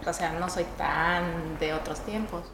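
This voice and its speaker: A woman guest in a casual chat who talks in a soft, reflective, hesitant way. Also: lowish voice, fairly even intonation, a little slow, reverberant, slight background noise.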